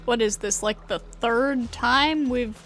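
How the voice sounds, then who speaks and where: Female game streamer, slightly high voice, slow easy pace, casual playful reactions over game music. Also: fairly bright tone.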